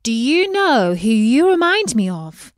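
A woman's voice: fairly high pitch, big pitch swings, measured pace, no room sound, clean studio sound. A woman voicing a shy but earnest young princess in a storybook reading, eager and emphatic.

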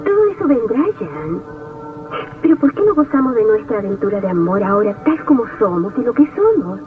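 A woman's voice: mid-range voice, very animated, brisk, far from the mic, phone-quality sound, close to an everyday voice. An actress playing a willful, romantic young woman of twenty in theatrical old radio melodrama style. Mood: tender, romantic. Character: willful, romantic twenty-year-old daughter, impatient and dreamy. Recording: thin old radio drama recording, faint music.

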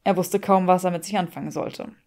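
A woman's voice: fairly low pitch, steady tone, brisk, a little mellow. Woman narrating fiction with wry measure. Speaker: audiobook reader. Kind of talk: expressive scripted fiction narration in German.

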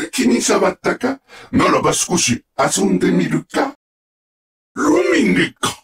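An actor playing a sadistic, mocking combat-robot villain in a Japanese video game, with sneering, theatrical, drawn-out taunts. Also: male voice, high voice, lively intonation, vocal fry, distant mic, exaggerated voice.

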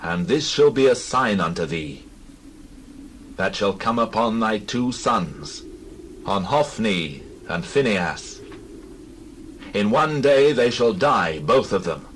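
Low-voiced stern prophet. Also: male voice, expressive, slow pace, long pauses, a bit bright, a little hiss or hum. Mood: solemn judgment. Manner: solemn, declamatory, accusing. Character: stern holy prophet delivering God's judgment. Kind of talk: dramatized Bible reading.

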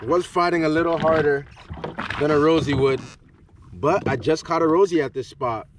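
A young man giving casual, excited fishing commentary in short, repeated exclamations, recorded outdoors on the water. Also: on the high side, moderate pace. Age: young adult. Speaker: fishing vlogger. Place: outdoors on a jet ski at sea. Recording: noisy outdoor boat recording. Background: boat and open-water noise.

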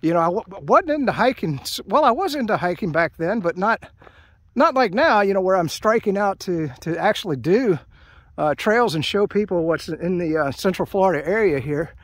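An older American man chatting casually outdoors as a hiking vlogger, in a rambling, halting way. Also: sits high, animated, no room sound. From United States. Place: outdoors at a trailhead by open fields. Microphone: handheld camera held by speaker.

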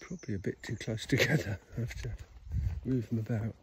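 A man giving a relaxed, rambling outdoor tour of his smallholding, filmed on a handheld phone. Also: medium pitch, fairly quick, slight background noise. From Scotland. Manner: relaxed, rambling, with restarts and fillers. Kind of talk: casual walking tour chat. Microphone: handheld phone camera.

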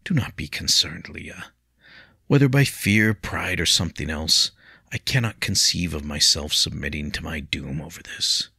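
A man's voice: extremely low pitch, animated, normal speed, creaky, bright, forceful tone, slightly rough, dry recording, clean studio sound, full character voice. A man playing a remorseful young nobleman in an audiobook story, speaking heatedly and bitterly with mirthless resolve.

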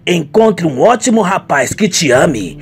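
A performer voicing a doting, encouraging middle-aged father in a radio soap, gentle and paternal. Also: medium pitch, sing-song, a little slow.

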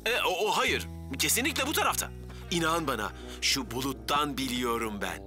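An actor voicing an excitable, stubbornly confident young male firefighter in a cartoon dub. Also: a little high, moderate pace, close to an everyday voice. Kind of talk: Turkish cartoon dub acting. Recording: music under the voice.

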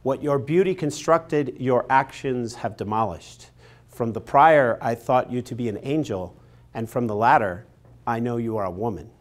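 Male lecturer in his forties, mid-pitched, expressive and emphatic, scripted studio video lesson on a lapel mic. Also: normal speed. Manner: expressive, emphatic storytelling delivery. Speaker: literature lecturer. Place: studio in front of a painted backdrop.

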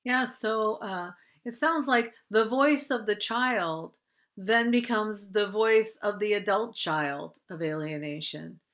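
A middle-aged woman hosting an interview on a video call, summarizing reflectively with careful, halting phrasing. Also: mid-range voice, fairly bright tone. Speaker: interview host.

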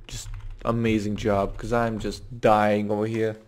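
A man's voice: fairly low pitch, level tone, measured pace, darkish tone, a little hiss or hum. A young man streaming a roleplay game, muttering to himself while typing and restarting his sentences often. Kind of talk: live-streamed roleplay commentary.

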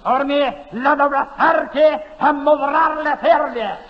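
A man playing an excitable tenor spouting gibberish in a 1930s radio comedy. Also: very high voice, slow pace. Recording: distant, very noisy, muffled 1930s radio recording.

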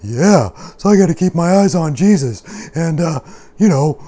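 A man's voice: fairly high pitch, animated, moderate pace, mellow. A man voicing a laid-back, enthusiastic youthful dude puppet in a children's Bible skit, slangy and emphatic. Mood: animated.

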